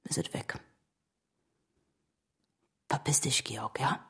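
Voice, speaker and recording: An actress playing a bitter, worn-out ex-wife in a naturalistic radio play, accusing in a hushed voice. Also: female voice, very deep voice, steady tone, slow, in a whisper, heavily acted. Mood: weary, bitter. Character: bitter, worn-out ex-wife, pleading to be left alone.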